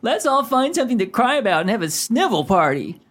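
Man acting a domineering, animated radio-play bully. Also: extremely high pitch, a little fast, full character voice. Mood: mocking. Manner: scolding, mocking, emphatic. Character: Alan, domineering, insulting, hot-tempered male suitor.

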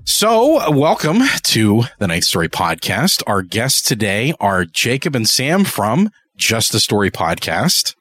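A man hosting a podcast interview in a casual, slightly halting, appreciative manner. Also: on the low side, wildly swooping pitch, fairly bright tone, soft background music.

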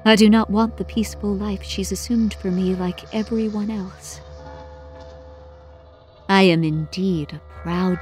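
A woman narrating sci-fi horror in the first person as a lovelorn, obsessive scientist, with a measured, intense delivery. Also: medium pitch, darkish tone, lightly acted. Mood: proud, defiant. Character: lovelorn, obsessive female scientist devoted to a monstrous lover. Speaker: storyteller. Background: musical score.

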